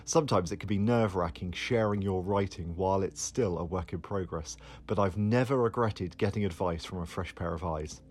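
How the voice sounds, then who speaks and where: A man with a husky voice giving prepared advice as a fiction writer. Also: deep voice, fairly quick, hardly any pauses, a little mellow.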